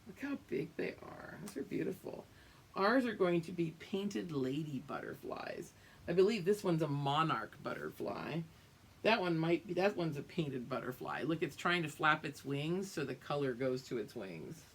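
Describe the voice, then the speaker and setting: Lady teacher reading a kids book out loud, very up and down voice, explains stuff, bit of noise behind her. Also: low voice. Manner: expressive, drawn-out reading with teacherly explanations and check-ins. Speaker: teacher reading aloud to young pupils. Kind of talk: read-aloud picture book lesson with explanatory asides.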